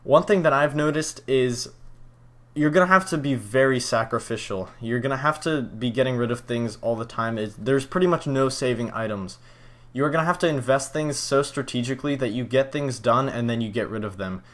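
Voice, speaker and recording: A young man from Poland answering viewer questions in a casual vlog, relaxed and rambling, with plenty of fillers and restarts. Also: mid-range voice, moderate pace. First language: Polish.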